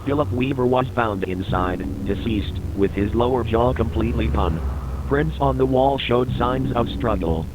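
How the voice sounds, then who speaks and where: A man with a mid-pitched voice narrates in the formal style of an investigative report for analog horror. His delivery is measured and scripted. Clear noise and ambience sit behind the voice throughout.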